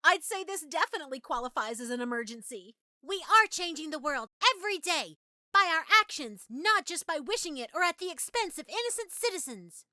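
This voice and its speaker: A woman's voice: sits high, big pitch swings, brisk, pushed and bright, dry recording, voice pushed up, full character voice. A woman playing a passionate, righteous crusader, speaking urgently and emphatically in a heroic drama style. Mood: urgent, fervent.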